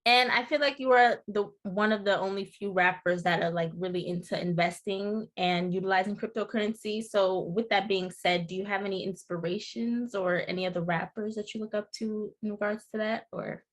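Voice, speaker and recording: Female interviewer, friendly affirming delivery, mid-pitched. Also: fairly even intonation, moderate pace, few pauses, low-quality recording. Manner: upbeat, affirming, "we love that". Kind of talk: interview questions.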